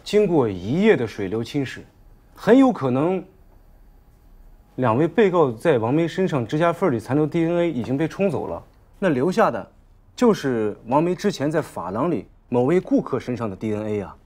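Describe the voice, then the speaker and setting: An actor in his thirties playing a composed public prosecutor in a film, speaking in a measured, formal, articulate manner. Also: mid-range voice, big pitch swings, a little mellow. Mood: firm, explanatory. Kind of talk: formal courtroom reading and argument in a film.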